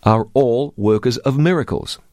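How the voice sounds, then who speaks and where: A man's voice: mid-range voice, normal speed, a little mellow, no echo, clean studio sound. A man teaching the Bible, reading scripture aloud and quizzing his co-host.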